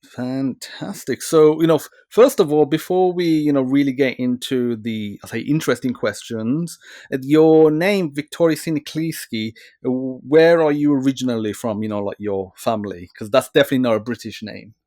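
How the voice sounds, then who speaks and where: A man's voice: medium pitch, normal speed, mellow, no echo, studio quality. A man hosting a podcast, asking long, rambling questions full of "you know" fillers. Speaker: podcast host.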